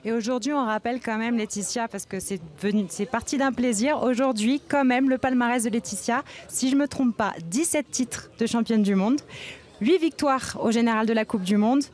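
A woman's voice: a little high, normal speed, a little mellow. A young woman presenting and putting questions to guests at an outdoor event, using a handheld microphone. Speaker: interviewer, presenter. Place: outdoors at a snowy ski resort event. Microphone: handheld reporter microphone.

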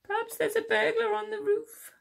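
A small, fretful boy mouse from a children's storybook, played by a woman. The voice is pitched way up and pleads urgently, like a wide-awake child calling out in the night. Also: completely flat delivery, normal speed, creaky, full character voice. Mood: frightened. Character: small, wakeful, fretful young male mouse.

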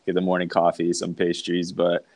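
A man in his thirties, a pro soccer player guest, chatting on a video call from home in a relaxed, hesitant way with many ums. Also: low voice, moderate pace, no echo. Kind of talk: casual video-call chat. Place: home room.

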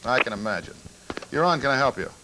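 A man's voice: medium pitch, expressive, fast. An older man hosting a call-in TV show, chatting casually in a folksy, dryly joking way. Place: small TV studio. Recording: noisy old TV broadcast tape.

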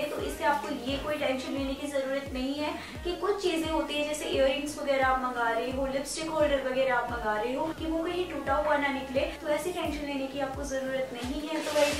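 A woman's voice: high-pitched, steady tone, fairly quick. A young woman in her twenties from India, chatting casually and persuasively to viewers while showing off her shopping finds. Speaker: fashion vlogger. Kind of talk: casual shopping haul talk. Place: indoor studio with purple backdrop. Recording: very echoey room, mic at a distance, music underneath.

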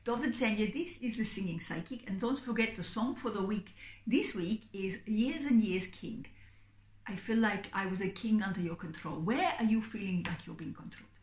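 A middle-aged woman speaks to camera in an echoey room. Her voice sits high and swings widely up and down. She chats in a theatrical, expressive way, like an entertainer hosting her own show. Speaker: singing psychic performer and host. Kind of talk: theatrical chatty vlog to camera. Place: small indoor room, seated on a sofa.

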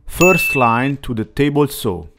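Slow, measured man narrating a workshop build. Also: mid-range voice, animated. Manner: slow, plain instructional delivery with non-native phrasing. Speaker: woodworking channel host. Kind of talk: scripted voice-over narration of a build. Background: faint background music.

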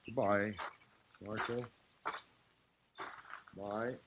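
An actor playing a meek, bewildered frontier husband in an old radio western, hesitant, subdued and polite. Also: male voice, lowish voice, flat delivery, extremely slow. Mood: dazed, grateful. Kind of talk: 1950s radio western acting. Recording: old radio recording, faint hiss.

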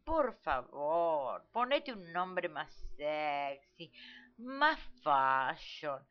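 Woman playing a vain, slow-talking showgirl diva. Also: low voice, sing-song, heavily acted. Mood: haughty, dismissive. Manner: theatrical, condescending. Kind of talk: parody radio play. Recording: dull lo-fi audio.

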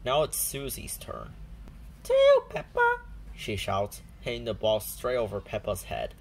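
A woman narrating a children's storybook aloud in a deliberate, simple storytelling manner. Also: very deep voice, sing-song, creaky, slight background noise, poor audio.

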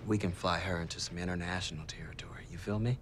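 A cocky, lewd young guy with a fairly low voice. He talks like a joking buddy in a naturalistic film style. There is faint background noise. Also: fairly even intonation, fast talker, fairly bright tone. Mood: lewd, teasing.

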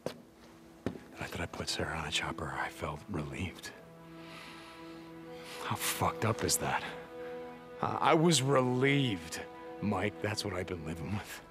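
A man's voice: sits low, lively intonation, measured pace, long pauses. An actor playing a guilt-ridden American biker drifter in his thirties, in a naturalistic video game performance. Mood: bitter, confessional. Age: 30s. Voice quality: gravelly. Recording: background music.

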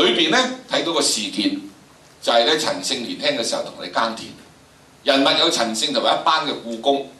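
Older male teacher, Hong Kong Cantonese accent, forceful and emphatic lecture delivery, heard in an echoey hall. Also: mid-range voice, distant mic. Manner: emphatic, drawn-out phrasing with frequent rhetorical questions. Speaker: teacher of history and drama. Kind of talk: animated lecture to students. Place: large indoor lecture theatre.

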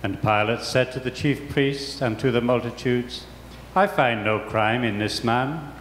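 An older man giving a deliberate, declamatory dramatic reading of scripture in a large church. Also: medium pitch, a bit bright. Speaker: reader in a church Passion reading. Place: large church, before a congregation. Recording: very echoey church, faint noise.

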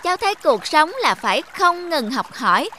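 A woman voicing a restless, cheeky young stream in a cartoon fable, lively and childlike. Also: high-pitched, normal speed, slight background noise, full character voice. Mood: argumentative.

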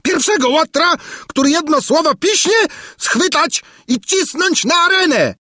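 Shrill, raging tyrant king. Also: very animated, unhurried, no echo, studio quality, full character voice. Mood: enraged. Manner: shouting, imperious outbursts. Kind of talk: theatrical fairy-tale storybook reading.

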